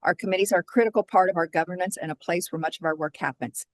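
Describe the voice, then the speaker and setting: Woman, board chair, low register, dry close sound, measured procedural delivery on a video call. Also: dry recording. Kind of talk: formal meeting chairing.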